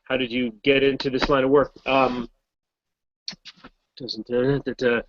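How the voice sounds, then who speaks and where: A voice actor from Massachusetts answering fan questions in a casual, rambling, self-deprecating way over a video call. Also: male voice, mid-range voice, flat delivery, measured pace, lo-fi sound, slight background noise. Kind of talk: casual Q&A chat.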